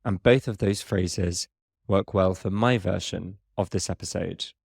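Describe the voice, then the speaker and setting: Low-voiced southern English man, measured. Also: a little mellow, dry recording, studio quality. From England. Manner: clear, measured, explanatory delivery. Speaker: English teacher and podcast host. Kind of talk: scripted podcast narration for learners.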